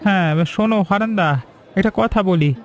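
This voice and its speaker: An actor playing a generous, reassuring schoolteacher in an animated cartoon story. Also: male voice, high voice, fast. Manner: warm, reassuring. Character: kindly middle-aged male schoolteacher, generous helper.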